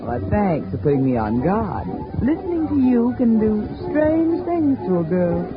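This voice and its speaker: An actress playing a prim, quick-tongued young female nurse in a 1940s radio drama, firing off crisp, indignant retorts. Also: mid-range voice, sing-song, over music. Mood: charmed. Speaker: doctor's nurse. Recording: muffled 1940s radio broadcast.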